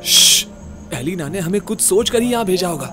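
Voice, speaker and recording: An actor as a polite, flustered ghost investigator in a horror-comedy audio drama. His voice is notably high for a man, and music plays behind him. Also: animated, normal speed. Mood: puzzled.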